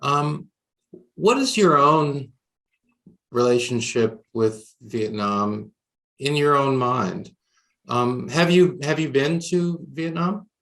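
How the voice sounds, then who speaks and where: Male interviewer, measured and deliberate, slow delivery. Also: medium pitch, fairly bright tone. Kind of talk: oral history interview.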